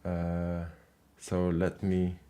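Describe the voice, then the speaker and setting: A man hosting a live call-in language show, casual and halting, with many fillers and restarts. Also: low voice, completely flat delivery, mellow, clean tone.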